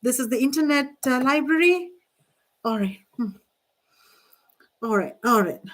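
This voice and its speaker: A woman's voice: high voice, animated, measured pace, fairly bright tone, recorded from a distance. An older woman vlogger talking in a rambling, hesitant way, full of fillers and restarts. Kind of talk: rambling casual monologue.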